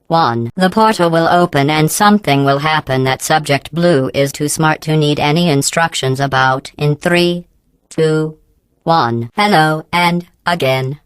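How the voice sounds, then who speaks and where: A woman playing a passive-aggressive artificial intelligence in a video game, deadpan and falsely polite, with a synthetic, processed robotic cadence. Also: clear, resonant tone, dry recording. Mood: sly, condescending, then clinical. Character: passive-aggressive female artificial intelligence running lab tests. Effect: robotic pitch-corrected voice processing. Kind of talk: scripted video game voice acting.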